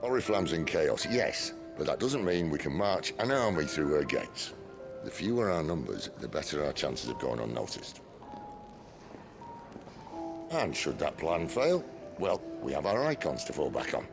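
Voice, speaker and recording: A very deep-voiced, wry rebel leader in a fantasy game. His delivery is gravelly and unhurried, swinging freely through his range with dry, teasing confidence. Music plays prominently underneath. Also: sing-song, heavily acted. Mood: measured. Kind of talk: dramatic video game acting.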